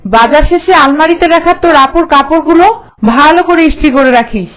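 A woman's voice: sits high, fairly quick, lightly acted, rough recording. A woman voicing a haughty, bossy, hard-hearted mistress of the house in a Bengali cartoon, with a nagging, contemptuous manner. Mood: scolding, bossy.

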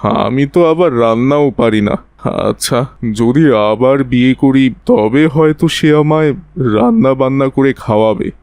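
A man's voice: mid-range voice, a little slow, darkish tone, clean tone, no echo, lightly acted. A man playing a pious, weary, fatherly elderly villager in a cartoon story. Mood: weary brooding.

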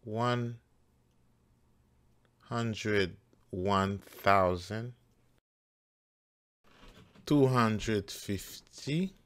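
Male tutor, clear resonant tone, extremely unhurried, deliberate step-by-step explanations. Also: lowish voice. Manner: deliberate, halting phrase by phrase. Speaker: math teacher. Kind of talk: step-by-step worked-problem tutorial.

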